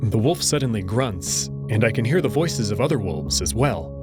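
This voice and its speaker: A man's voice: lowish voice, very animated, moderate pace, over loud music. A man reading a first-person story as narrator in a dramatic storytelling style. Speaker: narrator and reader.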